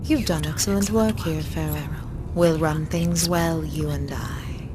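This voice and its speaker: Sly formal vampire schemer lady, game villain, low silky voice, noisy rumble behind. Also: fairly even intonation, a little slow. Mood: conspiratorial. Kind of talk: video game villain acting. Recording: clear rumbling background noise.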